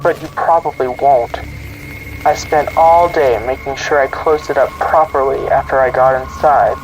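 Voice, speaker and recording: A man's voice: mid-range voice, fairly even intonation, normal speed, soft background music, lightly acted, low-quality recording. A man playing a menacing hidden intruder who gloats in a recorded horror-story message. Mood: gloating menace. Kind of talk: horror audio drama voice message.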